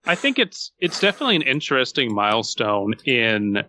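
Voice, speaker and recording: A man's voice: mid-range voice, sing-song, fairly quick, pushed and bright. A man co-hosting a podcast, talking casually in an opinionated, exasperated way and swearing freely. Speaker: podcast co-host.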